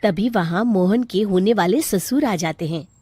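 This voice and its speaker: A woman's voice: mid-range voice, a little slow, warm tone, slightly rough, dry recording, faint music behind. A woman reading scripted narration for a cartoon folk tale.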